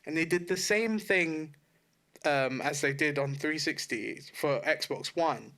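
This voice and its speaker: A man with a fairly high, very bright and forceful voice chats as a podcast co-host. His delivery is blunt and slangy, with swearing mixed into casual talk. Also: slow pace. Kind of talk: casual podcast chat about video games.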